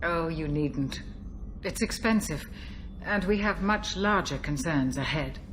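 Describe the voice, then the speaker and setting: A composed veteran ship's doctor in a science fiction game. Her lowish voice is courteous and measured, with faint ambient noise around her. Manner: courteous, measured, slightly formal. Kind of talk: video game cutscene voice acting.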